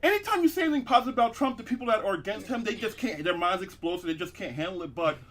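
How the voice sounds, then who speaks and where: A man hosting a loose comedy podcast, his voice bright and a little high for a man. He rambles through his points with plenty of fillers, restarts and laughs. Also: lively intonation, rapid-fire. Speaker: podcast host. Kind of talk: casual comedic chat.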